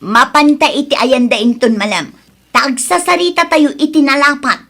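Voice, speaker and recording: A woman's voice: high voice, moderate pace, bright, forceful tone. An actress playing an earnest, dutiful young Ilocano woman in a radio drama, speaking expressively. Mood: frustrated. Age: young adult.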